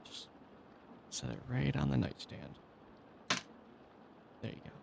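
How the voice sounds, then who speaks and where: A man's voice: medium pitch, big pitch swings, slow, creaky, very noisy. A man performing an intimate sleep-aid roleplay as a doting, teasing boyfriend, speaking tenderly with rain ambience behind him. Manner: tender, praising, teasing, chuckling often.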